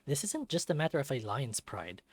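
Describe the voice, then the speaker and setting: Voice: medium pitch, big pitch swings, a little fast, dry recording. A narrator reading a visual novel aloud in a dramatic storytelling style, with music behind. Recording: background music under the voice. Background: game music.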